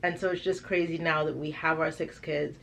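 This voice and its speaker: A woman from Trinidad chatting casually and telling personal stories in an animated, emphatic way. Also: low-pitched, a little fast, vocal fry, crowd noise. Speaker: vlogger and parent of six. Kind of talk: casual chat, personal storytelling.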